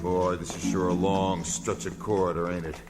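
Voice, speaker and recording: A man playing an excitable, folksy two-fisted engineer in an old-time radio adventure serial. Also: sits low, normal speed, close to an everyday voice. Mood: wary. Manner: folksy, exclamatory. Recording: long reverb, music behind.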